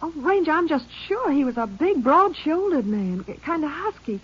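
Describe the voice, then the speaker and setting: A woman's voice: high-pitched, big pitch swings, normal speed. An actress playing a nervous young woman witness who stammers and hedges in a 1950s radio drama. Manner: hesitant, stammering. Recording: dull old radio broadcast.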